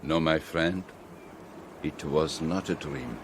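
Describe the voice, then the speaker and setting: An actor playing a shrewd, courteous, middle-aged detective in a radio drama, with a French-speaking Belgian accent and formal, precise phrasing. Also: male voice, very deep voice, slow, noisy background. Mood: grave, explaining.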